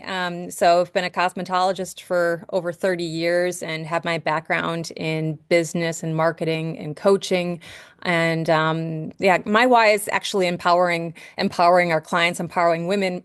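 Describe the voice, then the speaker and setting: A woman's voice: fairly low pitch, clean tone, dry recording, clean studio sound. A middle-aged woman from New York giving an upbeat skincare training webinar over a video call, with frequent ums and restarts. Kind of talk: webinar presentation.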